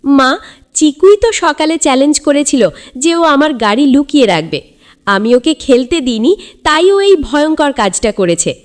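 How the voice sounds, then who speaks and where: A woman's voice: high voice, very animated, normal speed, voice pushed up. A woman voicing an emotional, accusing little boy in a cartoon story, insistent and dramatic. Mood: tearful accusing.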